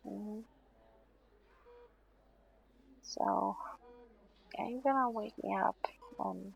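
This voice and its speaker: A woman playing a tired, stubborn but playful patient in an intimate audio roleplay, murmuring sleepily and haltingly, often close to a whisper. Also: mid-range voice, muffled recording, heavily acted. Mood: sleepy, apologetic. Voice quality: breathy, often dropping to a whisper.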